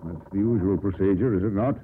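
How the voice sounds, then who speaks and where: An actor playing a gruff, formal middle-aged sea captain in a 1950s radio drama. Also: male voice, low voice, brisk, natural voice. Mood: stern. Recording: muffled, hissy 1950s radio broadcast.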